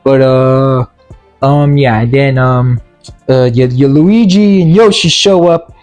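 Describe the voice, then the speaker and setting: A man's voice: mid-range voice, slow, a little mellow, soft background music. A man giving a casual, comedic ranting recap as a video game movie reviewer, rambling and stumbling over his words with exasperation.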